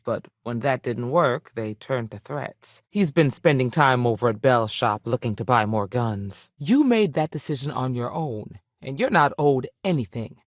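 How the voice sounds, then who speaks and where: A woman's voice: rumbling low, no echo, clean studio sound, exaggerated voice. A woman playing a strict, principled frontier ranger in a video game, plain-spoken and authoritative with a folksy turn. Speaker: video game character actor. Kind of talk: scripted game dialogue.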